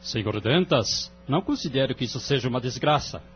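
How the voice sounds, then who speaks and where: A Brazilian man playing a calm, wise family-court judge in an audio drama, speaking in a formal, measured, moralizing way. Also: medium pitch, breathy edge. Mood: calm, explaining. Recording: dull lo-fi audio, music underneath.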